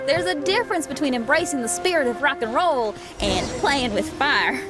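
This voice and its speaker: A spirited rock musician. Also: female voice, sits high, animated, moderate pace, over music. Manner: confident, spirited, cheeky. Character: bold young woman rock musician. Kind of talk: game cutscene dialogue.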